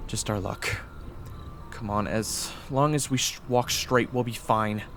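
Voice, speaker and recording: A man's voice: lowish voice, a little slow, fairly bright tone, slight background noise. A man playing a protective young swordsman in an audio drama, with a brusque, urgent delivery. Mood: exasperated. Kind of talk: audio roleplay drama acting.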